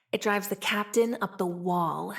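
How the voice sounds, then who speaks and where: A woman's voice: mid-range voice, vocal fry. A young woman voicing a playful, streetwise, wry frontier woman with a tail in a video game. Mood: teasing, wry. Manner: teasing, sly, drawling, sighing between wry remarks. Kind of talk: video game character voice lines.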